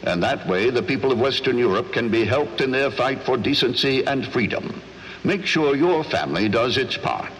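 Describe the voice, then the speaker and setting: An actor playing an earnest, grave American radio newsreader and announcer in the style of the 1940s. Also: male voice, medium pitch, expressive, moderate pace, a bit bright, slight background noise, lightly acted, rough recording. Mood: earnest, urging.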